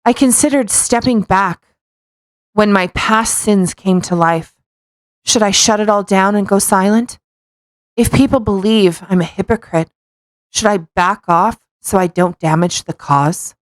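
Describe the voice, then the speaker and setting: A woman's voice: medium pitch, measured pace, no room sound. A woman co-hosting a podcast, reading aloud mockingly with playful, casual banter and a tendency to stumble over words. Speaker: podcast co-host.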